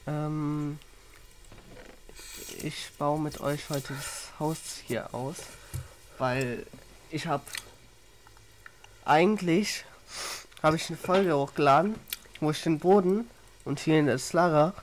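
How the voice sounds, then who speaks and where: A man's voice: fairly high pitch, takes it slowly, long pauses, a little hiss or hum. A man with a cold and a runny nose, chatting plainly as he explains his gameplay. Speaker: Minecraft YouTuber. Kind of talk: casual gameplay commentary.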